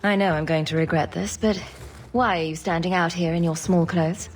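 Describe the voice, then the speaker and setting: A woman playing a confident, sardonic adventurer with a wry, deadpan manner in a scripted fantasy game. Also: fairly low pitch, normal speed, lightly acted. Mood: dry, sardonic.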